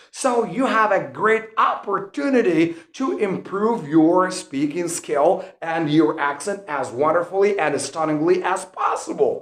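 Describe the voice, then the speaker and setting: Emphatic high-voiced male English teacher. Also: echoey room. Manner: repetitive, emphatic teaching delivery. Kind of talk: recorded English lesson.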